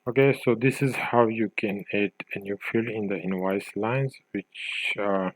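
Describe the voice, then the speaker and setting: A man narrating an informal software tutorial, thinking aloud with a hesitant delivery as he demonstrates. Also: on the low side, a little slow, dry recording, rough recording.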